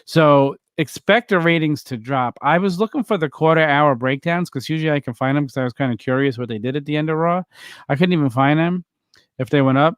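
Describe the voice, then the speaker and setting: A man's voice: a little high, fairly quick, clean tone, dry recording. Man, casual podcast talk, rambling with restarts, teasing, dry close sound. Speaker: wrestling podcast co-host. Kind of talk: casual chat.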